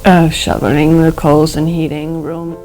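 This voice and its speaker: A woman under hypnosis as a client, answering questions in hesitant, halting fragments as if in a trance. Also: low-pitched, little pitch movement, dark tone, soft background music, rough recording. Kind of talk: answering questions in a regression session.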